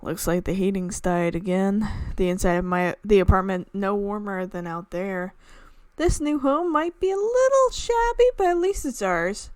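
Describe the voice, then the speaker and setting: A woman voicing a gruff, tactless working woman in a visual novel, with blunt, casual grumbling. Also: mid-range voice, lively intonation, moderate pace, a little mellow, no echo, lightly acted.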